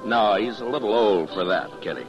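An American actor playing a stern, principled Old West marshal in a 1950s radio western, measured and plain-spoken. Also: male voice, fairly low pitch, lo-fi sound, close to an everyday voice. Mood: dry, easygoing. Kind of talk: 1950s radio western acting and first-person narration. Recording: vintage radio broadcast with music.

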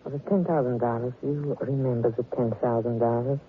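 An actor playing a suave, menacing little foreign blackmailer in 1940s radio drama style, mannered and excessively polite. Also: male voice, medium pitch, steady tone, fairly quick, faint music behind, close to an everyday voice. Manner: overly polite, sly, mannered. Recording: noisy, muffled old radio recording.

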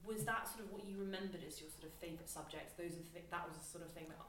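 A woman's voice: lowish voice, steady tone, rapid-fire, a bit bright, echoey room, slight background noise. A woman hosting a podcast, asking casual interview questions and often rephrasing them partway through. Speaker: podcast host.

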